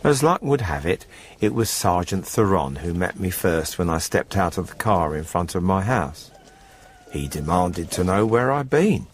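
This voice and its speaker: Deep-voiced, smug murderous farmer. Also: male voice, a little hiss or hum. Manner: measured, formal, drily smug storytelling. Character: smug male poultry farmer, coolly confessing murderer. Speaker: first-person narrator of a radio drama. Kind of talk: scripted dramatic monologue, radio drama.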